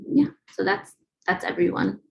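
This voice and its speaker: A woman informally presenting her photography project at an online webinar, talking in a casual, halting way. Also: a little mellow, highs cut off.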